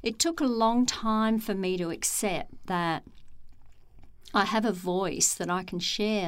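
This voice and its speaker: A middle-aged woman, a former executive turned meditation teacher, speaking in a calm, measured and thoughtful way with frequent pauses. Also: on the low side, smooth. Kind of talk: reflective interview talk.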